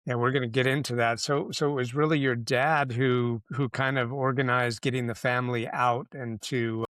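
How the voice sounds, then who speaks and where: A man hosting a podcast interview, asking friendly, measured questions. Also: mid-range voice, fairly even intonation, a bit bright, no echo, clean studio sound. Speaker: podcast host.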